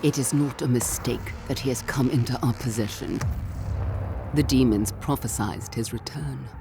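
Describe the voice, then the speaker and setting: A woman playing a cold, fanatical scientist and cult leader in a video game, speaking in a deliberate, ominous way. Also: a bass voice, gravelly, music in the background. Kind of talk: video game cutscene acting.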